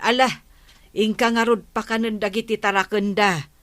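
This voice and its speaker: A woman's voice: medium pitch, steady tone, moderate pace, fairly bright tone, natural voice. A woman with an Ilocano accent playing a patient, concerned village woman in a radio comedy drama. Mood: matter-of-fact.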